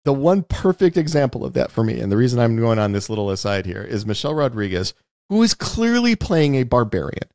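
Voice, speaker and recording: A man with a very animated, swinging delivery, recorded close and dry. He talks like a podcast host analysing a movie in conversation, explaining each point emphatically and often tagging on "right?". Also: mid-range voice, fairly quick, dry recording.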